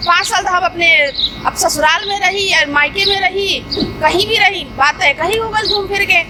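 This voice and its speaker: A woman's voice: very high voice, normal speed, very noisy. A woman playing a suspicious, jealous village wife in a comedy phone quarrel, complaining insistently with a Bhojpuri accent. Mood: insistent, aggrieved. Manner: complaining, insistent, repeating herself.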